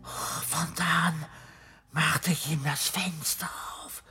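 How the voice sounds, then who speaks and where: An actor playing a dying, tormented madman in a German radio horror drama, breathy whispers breaking into feverish, halting cries. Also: fairly high pitch, steady tone, bright, forceful tone, exaggerated voice. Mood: feverish awe. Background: music.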